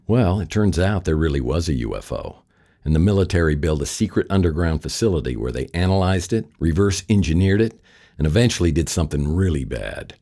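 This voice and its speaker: An American actor playing a wry, world-weary private detective in a noir radio drama, talking and narrating with dry, sardonic delivery. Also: male voice, low-pitched, lively intonation, fairly quick, mellow. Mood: wry, unsettled.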